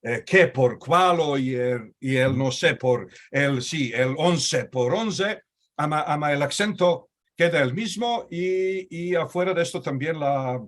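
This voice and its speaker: A man's voice: a little high, animated, takes it slowly. A man hosting a video-call talk in Ladino, asking long, searching questions with many fillers and restarts. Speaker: host. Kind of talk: conversational interview in Ladino.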